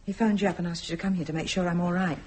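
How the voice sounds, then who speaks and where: An actress playing a proud, troubled woman in a radio drama, with a husky, breathy voice and a pointed, intense manner. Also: fairly low pitch, fairly even intonation, racing, a little mellow. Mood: accusing, bitter. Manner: intense, pointed, repeats phrases for emphasis. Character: troubled, proud woman, smothered by protective man. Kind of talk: naturalistic radio drama acting.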